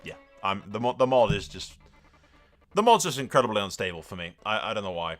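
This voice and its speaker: A man's voice: on the low side, sing-song, fairly quick, soft background music. A man doing casual let's-play commentary, sweary and blunt, slipping between exclamations and in-character roleplay narration. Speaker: gaming video host.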